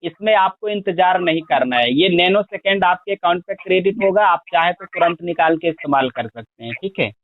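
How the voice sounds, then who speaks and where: A man making a long sales pitch on a phone call, reeling off figures at length and pressing the listener. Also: expressive, fairly quick, few pauses, dry recording. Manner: persistent, explains at length with long lists of figures. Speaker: scheme promoter on a phone call. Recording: tinny phone line, dry.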